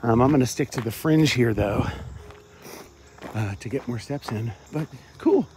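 A man's voice: medium pitch, a little slow, background noise. An older man from Cincinnati, rambling casually while walking outdoors and filming himself on a phone at arm's length. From Cincinnati, Ohio. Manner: rambling, chatty, frequent fillers, chuckles. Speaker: vlogger. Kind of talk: casual walk-and-talk vlog. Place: outdoors on a suburban campus walk. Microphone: phone held at arm's length, wireless earbuds.

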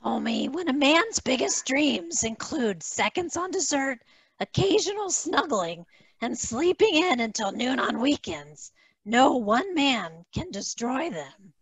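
A woman acts out a patient cartoon mother with a high, gravelly voice. She speaks unhurriedly and soothingly in a table read over a video call. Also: big pitch swings, measured pace, no room sound, full character voice, low-quality recording. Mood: reassuring. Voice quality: creaky.

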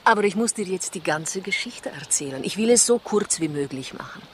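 An actress plays a poised female spy in an old radio thriller. She coaxes and gently talks down to her listener. The 1960s recording has a faint layer of noise. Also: fairly low pitch, lively intonation, brisk, close to an everyday voice. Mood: confiding, matter-of-fact. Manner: coaxing, condescending endearments. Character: poised female Russian spy, coaxing and patronizing. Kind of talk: 1960s German radio crime play acting.

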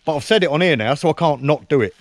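A man's voice: fairly high pitch, lively intonation, fast talker, dry recording. A man, chatting casually to camera at a woodland camp, teasing his mate and mocking himself. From England. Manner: cheeky, teasing banter with dry self-mockery. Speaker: camping vlogger. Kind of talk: casual outdoor chat to camera. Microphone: camera mounted close on a tripod.

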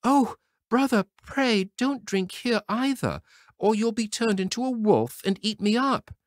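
A man voicing a gentle, anxious young girl in a storybook reading, with a pleading, lilting manner. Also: high-pitched, a little slow, no echo, studio quality, voice pushed up.

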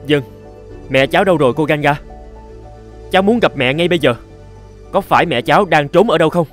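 A man as a high-pitched, resentful teenage son. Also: a little fast, fairly bright tone, soft background music, natural voice. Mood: furious, accusing. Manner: accusing, impatient. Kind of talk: animated fairy-tale dubbing.

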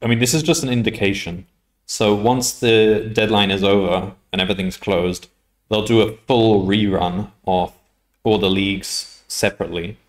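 A man's voice: fairly low pitch, steady tone, moderate pace. A man in his thirties chatting casually on a live coding stream at his desk, rambling and correcting himself, often laughing. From United Kingdom. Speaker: coding livestreamer. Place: home room at a desk.

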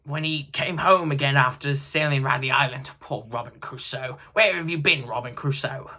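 A man voicing an old miser in a storybook reading, exclaiming excitedly between laughing and crying. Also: mid-range voice, moderate pace, husky.

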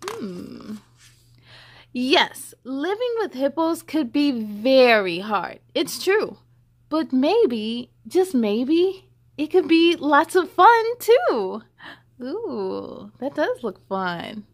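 Young female story time host, high voice, unhurried, expressive read-aloud delivery with stretched key words. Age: young adult. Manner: animated, drawn-out emphasis on rhymes. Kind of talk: expressive picture book read-aloud with chatty asides.

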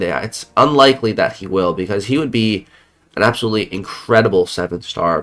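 A young man talks through a mobile game in a casual, rambling way, often restarting his sentences. His voice sits a little low and sounds slightly off from being ill. He is recorded at home on a headset mic. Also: fairly bright tone. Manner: chatty, rambling, self-correcting. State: sick, voice a bit off. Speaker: mobile game content creator. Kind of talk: casual gameplay commentary. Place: home room, indoors.

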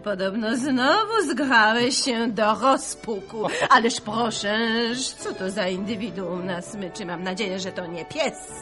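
An actress playing a haughty, silly high-society princess, an affected grande dame in a grotesque radio operetta. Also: female voice, a little slow, hardly any pauses, close to an everyday voice. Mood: languid, disdainful. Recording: 1980 radio play with music behind.

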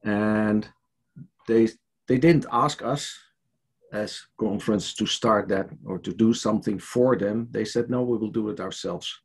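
A man's voice: fairly low pitch, a little slow, husky. An older man from the Netherlands with a Dutch accent, speaking hesitantly with many fillers and restarts as an interviewee on a video call from his home study. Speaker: church leader interviewee. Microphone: headset on a video call.

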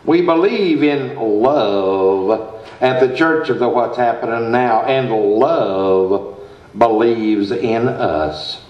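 Slow, folksy preacher played by a man. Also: mid-range voice. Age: middle-aged. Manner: slow, deliberate, sermonizing delivery. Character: folksy, earnest, slightly pompous small-town church preacher. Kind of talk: comic old-time radio sketch, read from script. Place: theater stage before a live audience. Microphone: stand microphone, heard in the hall. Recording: echoey, dull stage recording.

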